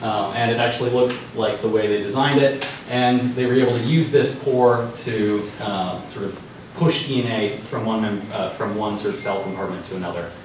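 A man's voice: on the low side, normal speed, far from the mic, noisy background. Young man talking in an echoey hall. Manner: loose and conversational, many ums and restarts. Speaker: presenter. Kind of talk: informal science news talk. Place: dark lecture room with a projector. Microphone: far room mic.

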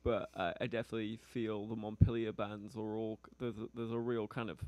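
A male radio host with a foreign accent, interviewing a musician in a relaxed, hesitant way. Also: fairly low pitch, a little fast, no room sound. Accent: foreign, non-local. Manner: relaxed, hesitant, many fillers. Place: small radio studio. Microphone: studio mic on a stand.

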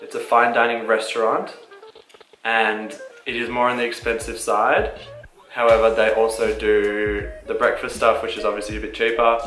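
A young man co-hosting a casual vlog, speaking in a relaxed, eager way. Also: on the low side, normal speed, echoey room, soft background music, low-quality recording. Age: young adult. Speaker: vlog co-host. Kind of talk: casual chat. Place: bright indoor room, seated.

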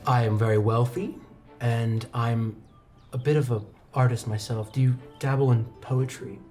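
A man's voice: mid-range voice, steady tone, normal speed, dark tone, faint music behind, close to an everyday voice. A man acting a wealthy, self-important noble suitor who fancies himself a poet, in a pompous, affected manner.

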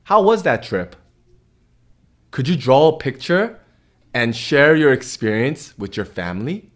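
A man's voice: medium pitch, big pitch swings, unhurried. A man in his thirties hosting a children's storytime, reading aloud expressively and speaking directly to young listeners.

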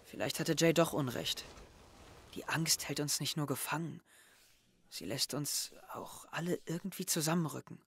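An actor playing a brooding, hesitant teenage schoolboy in a naturalistic audio drama. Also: male voice, fairly high pitch, normal speed, creaky, a bit bright, slightly rough, full character voice. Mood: pensive, subdued.

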